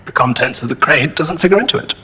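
Husky, formal robot coordinator. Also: male voice, fairly high pitch, animated, pushed and bright, heavily acted. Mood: formal, detached. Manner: formal, measured, detached. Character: robot tactical coordinator, formal, matter-of-fact male machine. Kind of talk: audio drama acting.

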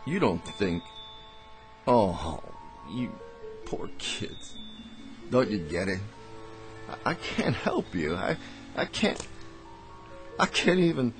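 A boozy, shabby store Santa in a radio play. His lowish voice swings widely and drags through slurred, rueful, courtly phrases. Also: sing-song, slow, pauses often, faint music behind, full character voice. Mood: woozy, pained. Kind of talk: radio drama acting.

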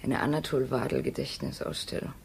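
Earnest insistent wife, reproachful, old radio play with faint noise. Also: female voice, extremely low pitch, big pitch swings, brisk, vocal fry, darkish tone, full character voice. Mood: earnest, coaxing. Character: insistent, devoted wife pressing her husband. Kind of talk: 1950s radio drama acting.

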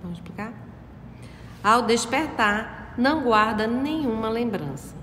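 A woman's voice: a little high, expressive, a little slow, fairly bright tone, a little hiss or hum. A woman in a study group reading a text aloud and stopping to explain it. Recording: echoey room with long reverb.

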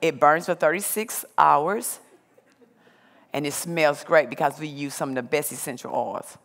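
A woman's voice: deep voice, a little slow. A middle-aged woman giving a plainspoken, conversational personal testimony on a theater stage through a headset microphone. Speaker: speaker sharing personal testimony. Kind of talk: personal testimony to a live audience. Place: large theater stage, indoors. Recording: hall reverb from stage sound.

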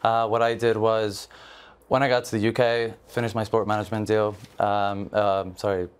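A man's voice: lowish voice, level tone, normal speed, clean tone. A young man from Israel, a football agent as a studio guest, explaining in an earnest way with many fillers on a TV panel. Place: large TV studio.

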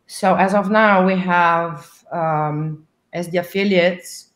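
A middle-aged woman, an English speaker, explaining things in a measured way as a guest on a video call. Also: lowish voice, steady tone. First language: not English. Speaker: association president, interview guest. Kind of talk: interview talk on a video call. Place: indoor room. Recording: echoey room over video call.

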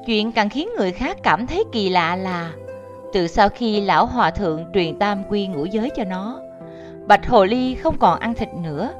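Female narrator, mid-pitched, scripted fable storytelling, music bed underneath. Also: expressive, moderate pace. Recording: muffled audio under music.

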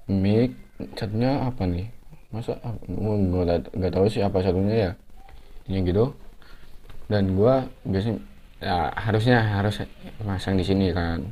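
A man's voice: sits low, unhurried, pauses often, warm tone. A young man, giving a casual, hands-on vlog tutorial at home in a chatty, slangy way full of fillers. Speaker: motovlogger. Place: living room at home, indoors.

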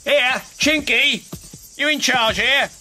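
An actor playing a cocky, boastful jockey in a radio drama, brash and slangy. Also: male voice, very high voice, slow, pushed and bright, a little hiss or hum, full character voice.

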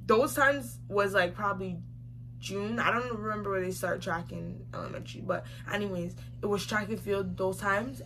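A young woman tells a casual story to camera in a small room. She is emphatic and slangy, hammering phrases by repeating them. Her voice sits at a middle pitch, over faint recording noise. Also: fairly bright tone. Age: young adult. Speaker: vlogger. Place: small room indoors, seated facing camera. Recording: clearly noisy home recording.

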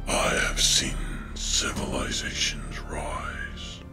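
A man playing an ancient, menacing dark lord in a horror film monologue, grandiose and coldly commanding, with a raspy croaking whisper. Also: music in the background, lightly acted. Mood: brooding, ominous. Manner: slow, portentous, drawn-out phrases.

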